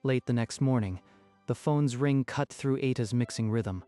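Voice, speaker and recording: A man with a medium-pitched voice narrates a scripted dramatic story. Music plays clearly behind his storytelling. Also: normal speed, dark tone.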